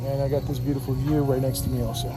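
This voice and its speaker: A man's voice: mid-range voice, level tone, quick pace, over loud music, poor audio. A man with a Puerto Rican accent, vlogging casually by a pool and talking into a handheld camera, rambling with restarts and fillers. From Puerto Rico. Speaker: travel vlogger. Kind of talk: casual travel vlog. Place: outdoors at a resort pool.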